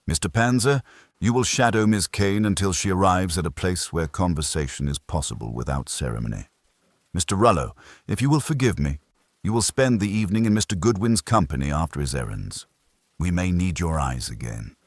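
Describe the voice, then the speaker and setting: A man acts out a pedantic, commanding master detective from a mystery audiobook. He speaks in ornate, formal sentences, very low and husky, with emphatic swings of pitch. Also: lively intonation, a little fast, dry recording, studio quality.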